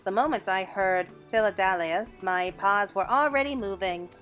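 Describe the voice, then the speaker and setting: A woman's voice: mid-range voice, normal speed, a bit bright, soft background music, close to an everyday voice. A woman voicing a small, eager, theatrical rabbit creature in a video game, speaking in a florid, exclamatory way. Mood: eager, chatty.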